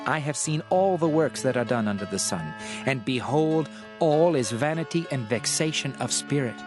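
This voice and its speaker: An actor playing a world-weary, reflective king called the Preacher in a dramatized scripture reading, solemn, measured and declamatory. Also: medium pitch, expressive, music in the background, natural voice.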